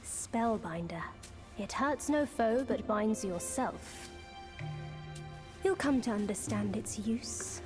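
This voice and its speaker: A woman playing an enigmatic, teasing mentor in a game, speaking in a measured, cryptic way. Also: on the high side, lively intonation, over music. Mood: cryptic, knowing. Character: enigmatic, teasing female mentor with mystical knowledge. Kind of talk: dramatic game voice acting.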